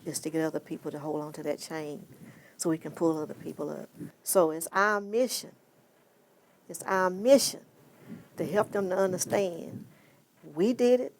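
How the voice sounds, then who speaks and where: A woman's voice: sits low, creaky, darkish tone, a little hiss or hum, poor audio. A woman giving an earnest documentary interview, speaking emphatically and repeating key phrases for weight.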